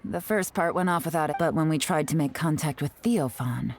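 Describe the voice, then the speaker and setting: A woman's voice: sits low, fairly quick. A woman voicing a cool, shrewd, self-assured intelligence agent in a video game, with music underneath. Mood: shrewd, composed. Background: soft game music.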